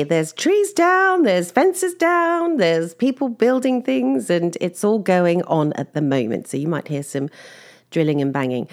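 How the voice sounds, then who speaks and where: A woman chatting casually to listeners in a personal update, slightly croaky from lack of sleep, laughing as she tells embarrassing stories. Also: on the low side, darkish tone. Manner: chatty, self-deprecating, laughs while telling stories. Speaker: vlogger.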